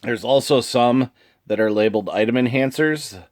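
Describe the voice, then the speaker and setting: A man with a sniffly, allergy-affected voice hosts casually, reading card text aloud with informal asides and some throat-clearing. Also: medium pitch, normal speed. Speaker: video host.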